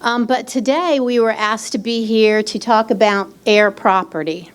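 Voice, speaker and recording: A woman's voice: fairly high pitch, unhurried, clean tone. Chatty woman giving a public workshop talk. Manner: chatty, many fillers, plain explaining. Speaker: legal aid presenter. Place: expo hall. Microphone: handheld microphone.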